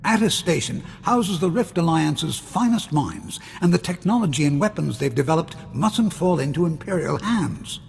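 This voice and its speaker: A stern, protective father figure from a science-fiction game. His voice is highish and full of gravel, measured and grave. It rings in a long reverb with faint ambient noise. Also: expressive.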